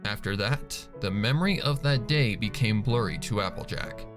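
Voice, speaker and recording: A man's voice: lowish voice, lively intonation, normal speed. A man narrating a piece of fan fiction as a dramatic scripted reading. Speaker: story narrator. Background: faint music.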